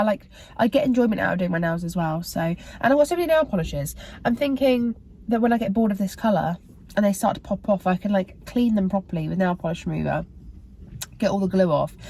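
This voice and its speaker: A young British woman talks to her camera in a loose, rambling vlog style. Her voice is croaky and worn from tiredness, and she drifts through fillers and restarts. A faint hiss of background noise sits under her speech. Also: mid-range voice, mellow. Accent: British English. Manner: rambling, filler-heavy, self-correcting. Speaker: vlogger. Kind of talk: casual chatty vlog talk to camera.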